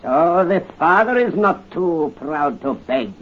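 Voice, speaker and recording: A man's voice: on the high side, animated, slow. A man playing a cruel, mocking revolutionary tyrant in a 1930s radio drama, heard through a dull old recording. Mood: gloating. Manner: sneering, mocking.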